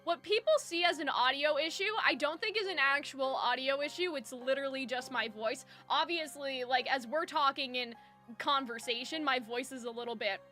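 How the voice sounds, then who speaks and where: A woman chatting casually as a livestream host, rambling and slangy in a giggly way, close to the microphone. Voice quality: high-pitched when excited. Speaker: live streamer, host. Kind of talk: casual livestream chat with viewers. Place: soundproofed room at home. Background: faint music.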